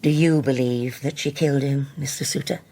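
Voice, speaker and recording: Low, husky older Scottish widow. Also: female voice, steady tone, fairly quick, warm tone. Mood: grave confession. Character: grave, devout older widow confessing a terrible deed. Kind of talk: naturalistic radio drama acting.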